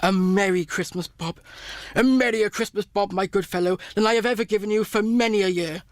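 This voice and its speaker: Man acting a giddy, high-pitched old gentleman. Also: fairly quick, no room sound, clean studio sound, lightly acted. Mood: warm, merry. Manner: exclamatory, effusive, repeats phrases. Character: reformed old miser, giddy, generous, gleefully excited gentleman. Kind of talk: radio drama acting.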